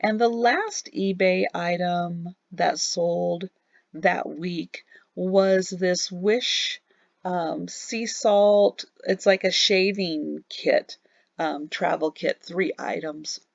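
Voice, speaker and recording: A woman reselling online, narrating a relaxed screen-recorded recap of what sold, halting with many ums and a tiring voice. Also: lowish voice, creaky, dry recording, muffled recording. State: losing her voice. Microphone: voice-over on a screen recording.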